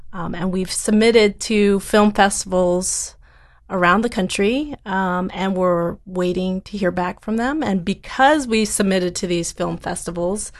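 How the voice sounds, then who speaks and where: A woman startup founder answering interview questions in an earnest, step-by-step way. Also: on the low side.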